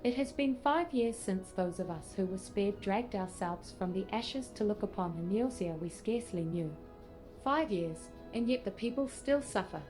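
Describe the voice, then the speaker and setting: A woman's voice: medium pitch, expressive, moderate pace, a little mellow, natural voice. A woman voicing a resolute female admiral, formal and businesslike, in a scripted video game scene. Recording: music behind.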